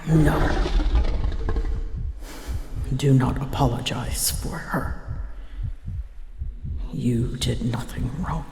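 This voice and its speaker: A woman voicing a prickly, wounded female dragon in a whispered ASMR roleplay, speaking breathily in halting, solemn, formal phrases. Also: a bass voice, fairly even intonation, background noise, exaggerated voice. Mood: firm, consoling. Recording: echoey, long reverb tail.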